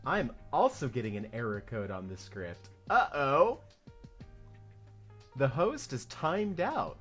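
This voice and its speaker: Male read-along co-host, casual and very animated in pitch, music underneath. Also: takes it slowly. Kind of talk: casual chat between script readings.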